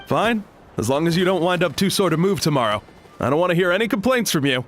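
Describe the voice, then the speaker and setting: A man's voice: sits high, lively intonation, fast talker, a bit bright, slight background noise. A man playing a helpful, teasing young companion in a video game. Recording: music playing behind the voice.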